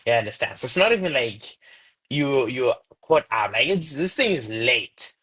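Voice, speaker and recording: Animated, bright-voiced man in his twenties. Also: moderate pace, slightly rough, poor audio. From Zimbabwe. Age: 20s. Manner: animated storyteller with lively pitch jumps. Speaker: guest. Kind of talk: casual interview chat.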